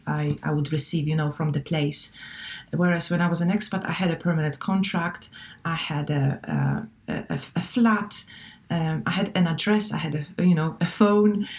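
Woman guest, english not her first language, lowish voice, lots of ums and restarts. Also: a little mellow. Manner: thoughtful, many fillers and restarts. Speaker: guest, relocation coach. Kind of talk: casual interview chat.

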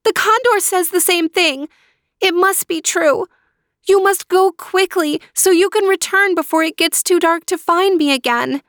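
A trapped young girl pleads in a high, urgent voice, as a woman puts her on for a storybook. Her words press forward with worry. The sound is close and dry. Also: a little slow, a bit bright, no echo, exaggerated voice. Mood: pleading.

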